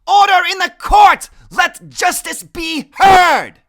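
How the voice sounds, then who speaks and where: A man voicing a tiny, fierce boy who shouts bold, commanding lines in a storybook reading. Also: high voice, expressive, unhurried, no echo, studio quality, pitched above the performer's own voice, heavily acted.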